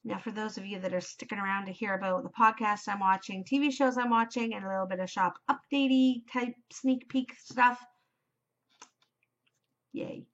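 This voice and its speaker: A Canadian woman hosting her knitting podcast, chatting casually and enthusiastically in a loud voice. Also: medium pitch, steady tone, moderate pace. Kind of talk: casual chat. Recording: slightly dull home recording.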